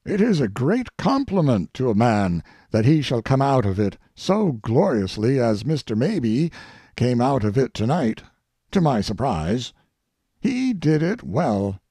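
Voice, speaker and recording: A man reading an old after-dinner speech aloud in a deliberate, oratorical manner with stressed pauses. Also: medium pitch, creaky, warm tone, husky, no echo, studio quality. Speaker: audiobook reader.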